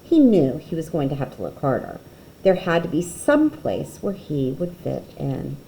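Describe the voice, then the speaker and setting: A woman reading a children's picture book aloud expressively, with dramatic pauses and emphasis. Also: low voice, very animated, gravelly, dark tone, slight background noise, rough recording.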